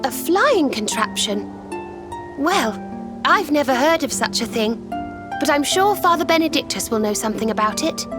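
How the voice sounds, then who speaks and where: Serena, a soft high gentle healer. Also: female voice, lively intonation, moderate pace, music in the background. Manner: soft, courteous, slightly old-fashioned phrasing. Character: gentle young female healer, kind and polite. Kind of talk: video game cutscene dialogue. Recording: game score playing underneath.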